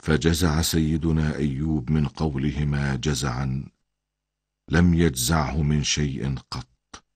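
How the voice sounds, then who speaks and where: Very deep, husky older male storyteller. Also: steady tone, a little slow, darkish tone, dry recording, studio quality. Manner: solemn, formal storytelling. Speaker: grandfather narrator. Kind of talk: scripted religious story narration for a cartoon.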